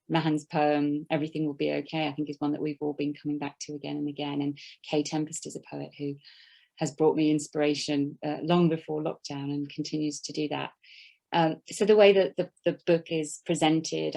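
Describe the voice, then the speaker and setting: A woman's voice: sits low, gravelly, dark tone. A woman author talking thoughtfully about her poetry collection, hesitant with many fillers.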